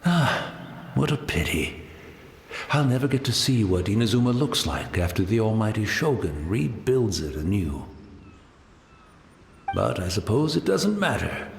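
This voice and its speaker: A serene old blind tea master, gentle, courteous and at peace with his fate. He speaks reflectively, and his voice rises and falls. The sound is roomy, with a faint hiss behind it. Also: on the low side, gravelly, exaggerated voice. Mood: wistful. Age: older. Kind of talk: game voice acting.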